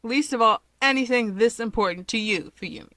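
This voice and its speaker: A woman's voice: a little high, a little fast, sharp tone, no room sound. Woman playing a bold, fearless young heroine. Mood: devoted. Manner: declarative, emphatic.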